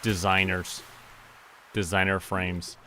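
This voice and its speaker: A man's voice: low-pitched, little pitch movement, slow pace, pushed and bright, a little hiss or hum. A man chatting casually to himself and to viewers as he streams a game. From Canada. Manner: talks to himself and viewers while playing. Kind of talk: casual game livestream chat.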